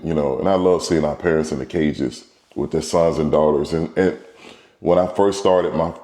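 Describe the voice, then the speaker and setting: A middle-aged man with a very low, mellow voice speaks with a stuffed-up nose from a cold. He rambles through a casual monologue as a show host, often repeating and restarting phrases. The room around him sounds echoey. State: congested from a cold. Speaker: baseball trainer and podcast host.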